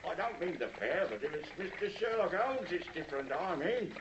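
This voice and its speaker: Lively, high-pitched Cockney cabbie. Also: male voice, moderate pace, muffled recording. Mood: grumbling, respectful. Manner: clipped, colloquial, deferential. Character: gruff, good-hearted Victorian London hansom cab driver. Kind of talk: 1950s radio drama acting. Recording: echoey, faintly noisy 1950s radio recording.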